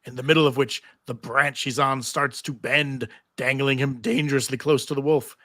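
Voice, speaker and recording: A man's voice: mid-range voice, fairly even intonation, normal speed, sharp tone, no echo, studio quality. A man narrating stage directions from a script at a live table read.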